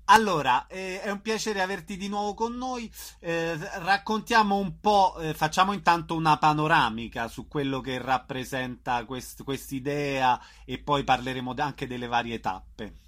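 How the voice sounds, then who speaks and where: A man's voice: fairly high pitch, moderate pace, hardly any pauses, fairly bright tone. A man hosting a conversational radio interview, informal and a little hesitant in his phrasing.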